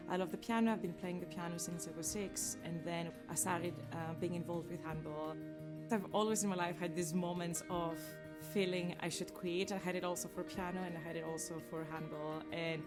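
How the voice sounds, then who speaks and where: A woman's voice: fairly low pitch, steady tone, a little fast. A woman from Greece telling her personal story as a student in a promotional video. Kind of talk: personal testimonial. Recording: voice over music bed.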